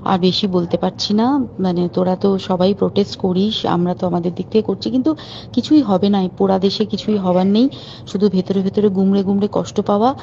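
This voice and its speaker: A woman's voice: mid-range voice, steady tone, brisk, vocal fry, dark tone. A woman on a private phone call, talking informally and urgently with open indignation. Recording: muffled phone call recording with faint hiss.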